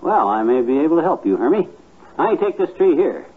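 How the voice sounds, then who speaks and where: A man's voice: a little high, big pitch swings, fairly quick, husky. A man playing a cocksure, stubborn bargain-hunting husband with a folksy, blustering manner in 1950s radio comedy. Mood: eager haggling. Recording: muffled, tinny old radio recording.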